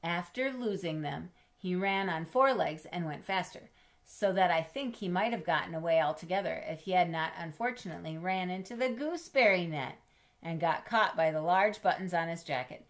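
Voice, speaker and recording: Expressive low-pitched woman reading to children. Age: middle-aged. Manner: expressive, teacherly, pausing to explain and ask. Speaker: storyteller reading a picture book. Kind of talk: children's read-aloud with questions to listeners. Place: small indoor home room with bookshelves.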